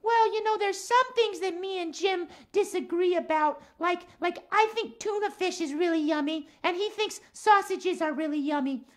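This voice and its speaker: A man voicing an earnest, principled female cat puppet for a children's show, with a halting, repetitive way of talking. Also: very high voice, a bit bright, voice pushed up.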